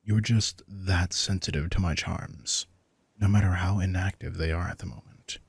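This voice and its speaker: A man voicing a possessive, teasing vampire in an intimate audio roleplay, smug and softly commanding. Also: low-pitched, fairly even intonation, moderate pace, creaky, slightly rough, no room sound, exaggerated voice. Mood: teasing, protective. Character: possessive, teasing male vampire with hypnotic powers. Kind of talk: intimate scripted audio roleplay addressed to the listener.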